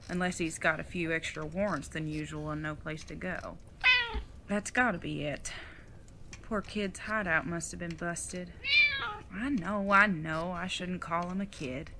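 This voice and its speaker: A woman playing a bright-voiced, folksy outlaw mother. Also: fairly low pitch, fairly even intonation, normal speed, vocal fry, slight background noise. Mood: musing, fretful. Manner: folksy Old West drawl and wording. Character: folksy old retired outlaw mother, fond and teasing. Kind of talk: audio drama acting.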